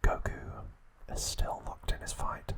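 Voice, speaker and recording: A man narrating trivia as an ASMR host in a breathy, hushed whisper, in short measured phrases.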